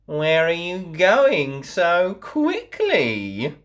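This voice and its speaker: A woman's voice: on the low side, huge pitch swings, very slow, pitched above the performer's own voice, exaggerated voice. A woman voicing a wicked old male wolf from a storybook, coaxing and mock-sweet.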